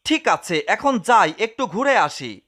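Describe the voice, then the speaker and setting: A greedy, mischievous tomcat in a cartoon dub. The voice is extremely high and piercingly bright, full of melodramatic whining, gloating and exclamations. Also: male voice, big pitch swings, unhurried, full character voice. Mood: distressed. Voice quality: high-pitched, sharp. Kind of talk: fan-dubbed cartoon comedy.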